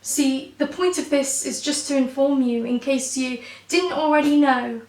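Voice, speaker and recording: A young woman performs spoken word poetry in a high, croaky voice that sounds stuffed up, as if her nose is blocked. Her delivery is wry and self-mocking, landing each line with punch. She sits back from the mic in a roomy, echoing space. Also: a little slow, a little mellow, far from the mic. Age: 20s.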